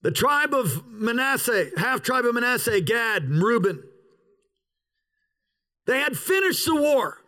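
A pastor preaching an outdoor sermon in a parking lot, with an opening prayer and emphatic, drawn-out pauses. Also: male voice, very high voice, sing-song, sharp tone.

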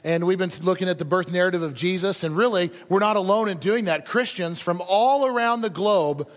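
A man's voice: high voice, a little fast. A man preaching as a pastor in an informal, conversational way, often repeating phrases for emphasis. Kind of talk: conversational sermon to a congregation. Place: large indoor church hall. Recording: echoey hall with long reverb.

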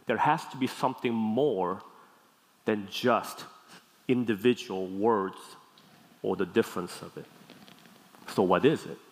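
A man with a Korean accent preaches in a medium-pitched voice that rings through a large, echoing hall. He teaches like a pastor, stressing and repeating key words and pausing to check that listeners follow. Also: a bit bright. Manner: didactic, deliberate phrasing with emphatic repeats and check-in questions. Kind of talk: teaching sermon. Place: large hall, speaking to a congregation. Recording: long hall reverb.

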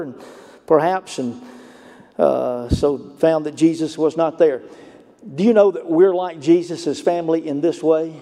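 A man with a Lower Alabama Southern accent preaching a sermon, moving from storytelling to emphatic points. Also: warm tone, in a large hall. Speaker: pastor.

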